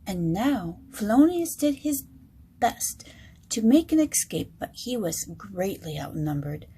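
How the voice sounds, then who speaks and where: A woman's voice: mid-range voice, big pitch swings, unhurried, dark tone. An American woman reading a bedtime story expressively to children, in a roomy, echoing home recording. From Michigan, United States. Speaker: storybook reader.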